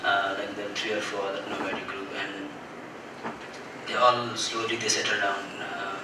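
A man's voice: fairly low pitch, monotone, normal speed. A man, speaking hesitantly as a photographer on a panel. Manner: hesitant, rephrases often. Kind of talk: unscripted panel talk. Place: dim auditorium with seated audience. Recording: echoey noisy hall, far from mic.

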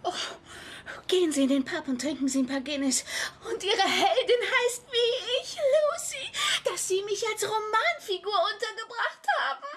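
A woman's voice: very high-pitched, animated, moderate pace, a little hiss or hum. An actress playing a chatty, cheeky, down-to-earth young woman with a breezy manner in a German radio play. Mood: touched, excited. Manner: breezy, colloquial.

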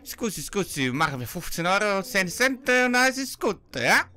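A man's voice: high-pitched, sing-song, moderate pace, sharp tone, full character voice. A man playing a flustered, servile waiter with an Italian accent in a comedy audio play. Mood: flustered, pleading.

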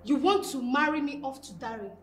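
An actress playing an exasperated young adult daughter with a Nigerian accent, pleading and protesting in a screen melodrama. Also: female voice, sits high, moderate pace, a bit bright. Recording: roomy sound with background music.

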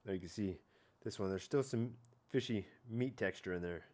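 A man's voice: fairly low pitch, normal speed, darkish tone. A man in his thirties casually talking through a fish tasting on an outdoor patio at night, rambling and hedging with filler words, recorded on a head-mounted camera. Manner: laid-back, rambling, hedging with "I don't know" and "like". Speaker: fishing vlogger. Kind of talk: casual catch-and-cook vlog.

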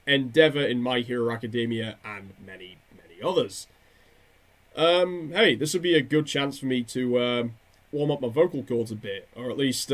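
A young man chatting casually to camera in a hesitant, rambling way, at a desk microphone in a bedroom. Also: medium pitch. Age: young adult. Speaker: video creator and amateur voice impressionist. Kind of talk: casual vlog talk to camera. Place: small bedroom indoors. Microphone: desk condenser microphone close in front.

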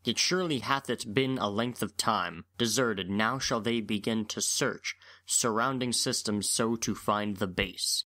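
An actor playing a dutiful military officer reporting formally in a Shakespearean dramatic reading. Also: male voice, lowish voice, unhurried, few pauses, bright, forceful tone, dry recording.

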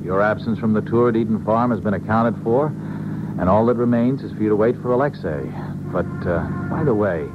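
Actor as a low-voiced, placating go-between. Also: male voice, fairly quick, close to an everyday voice. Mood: reassuring. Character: loyal, placating male go-between in a defection plot. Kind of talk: 1970s American radio drama acting. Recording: muffled, noisy 1970s radio recording.

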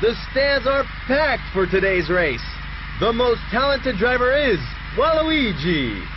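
A man's voice: big pitch swings, takes it slowly, exaggerated voice. A man voicing an upbeat race broadcaster for a kart game, calling each course with rising hype. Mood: hyped. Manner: rising hype on course names, swinging pitch. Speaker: race announcer. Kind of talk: excited game announcer. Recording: dull game audio with noise.

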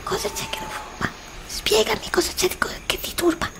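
A woman's voice: fairly quick, close to an everyday voice. A woman playing a frightened wanderer, whispering. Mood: fearful. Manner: anxious pleading whispers, repeated questions. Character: frightened young woman lost in a dark forest. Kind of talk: ASMR roleplay. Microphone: desk condenser mic close up.

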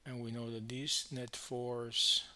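A man teaching physics, explaining a worked problem step by step in halting phrases with frequent verbal checks. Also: medium pitch, level tone, sharp tone.

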